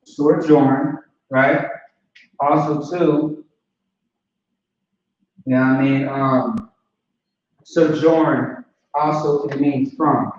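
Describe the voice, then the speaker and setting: A man's voice: mid-range voice, fairly even intonation, drawn-out words, long pauses, darkish tone, slight background noise. Casual man teaching in an echoey room. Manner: casual, repetitive, checks understanding often. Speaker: language teacher. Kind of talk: informal online language lesson. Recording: distant lo-fi mic in a very echoey room.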